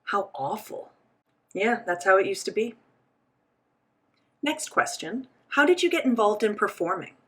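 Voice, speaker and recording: A woman with a husky, slightly breathy voice at a middle pitch. She voices a signed interview in the first person for both the host and the guest. Her delivery is measured and steady.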